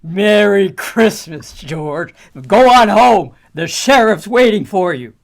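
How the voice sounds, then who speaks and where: A man playing a mean, creaky old rich villain in an amateur radio play over a video call. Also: high-pitched, animated, takes it slowly, full character voice. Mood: spiteful.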